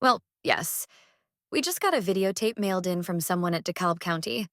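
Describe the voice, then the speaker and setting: A woman's voice: lowish voice, lively intonation, moderate pace, fairly bright tone, dry recording, clean studio sound. A woman playing a businesslike police officer reporting to a superior in an amateur horror drama, matter-of-fact in manner.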